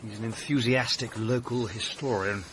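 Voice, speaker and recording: An actor playing an earnest, sporty young gentleman who is easily alarmed, in a period radio drama. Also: medium pitch, fairly even intonation, normal speed, background noise, lightly acted. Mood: friendly. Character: young gentleman heir, sporty, nervous and excitable.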